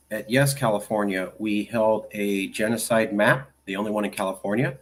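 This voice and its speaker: A man with a somewhat low voice talks over a string of video clips, narrating them for viewers. He leans hard on his points, repeating them with promotional emphasis. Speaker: advocacy group leader.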